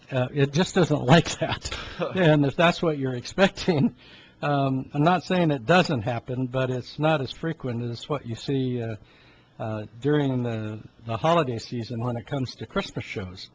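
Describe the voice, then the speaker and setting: A man in his seventies giving an informal health talk in a halting, rambling way, often clearing his throat. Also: mid-range voice, darkish tone. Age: 70s. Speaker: health talk host, medical practitioner. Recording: dull lo-fi sound with background noise.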